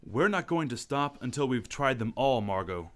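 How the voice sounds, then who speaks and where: A man playing a calm, determined gentleman crime-fighter in an old-time radio drama, measured and commanding. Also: mid-range voice, natural voice. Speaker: radio actor.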